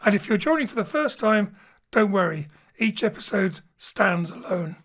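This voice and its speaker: A man introducing an audio drama as its director, in a measured way. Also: sits high, lively intonation, fairly bright tone. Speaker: theatre director and presenter.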